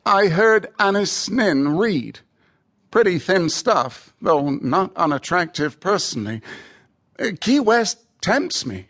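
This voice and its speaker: A man's voice: sits high, big pitch swings, slow pace, fairly bright tone, heavily acted. An actor playing a witty, admiring male poet reading his letters aloud in a chatty, wry, literary way for radio theatre. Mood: amused, chatty.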